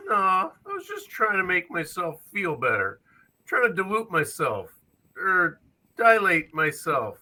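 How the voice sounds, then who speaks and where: High, swooping teenage boy, read by a man. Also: wildly swooping pitch, a little slow, bright, forceful tone, full character voice, rough recording. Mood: downcast. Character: bored, wistful thirteen-year-old boy. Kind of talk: script read aloud at a table read.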